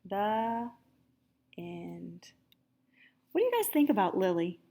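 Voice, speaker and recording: Animated woman reading aloud to young children. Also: mid-range voice, slow pace, gravelly. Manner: animated, asks young listeners questions. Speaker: kindergarten teacher reading aloud. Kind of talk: expressive children's read-aloud with asides to listeners.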